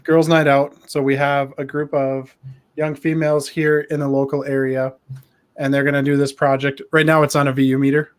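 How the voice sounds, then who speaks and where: A man's voice: medium pitch, level tone, normal speed. Male demo guest, mid-range voice, friendly and plain-spoken, informal show-and-tell. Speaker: guest demonstrating a project.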